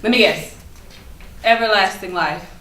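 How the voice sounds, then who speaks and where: A woman's voice: mid-range voice, measured pace, fairly bright tone, lightly acted. A woman acting a haughty, mischievous ancient enchanted bird in a staged play reading, with theatrical, grand phrasing. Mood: imperious, probing. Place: echoey room at a staged reading. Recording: echoey room, noticeable background noise.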